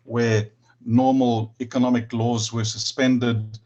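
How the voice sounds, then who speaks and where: A man with a South African accent speaking on an online panel, halting, with many restarts and stammers. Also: mid-range voice, little pitch movement, a little mellow, muffled recording.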